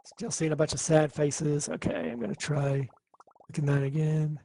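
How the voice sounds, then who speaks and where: A man teaching a live online coding class, thinking aloud and explaining in a relaxed way. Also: fairly high pitch, moderate pace, gravelly.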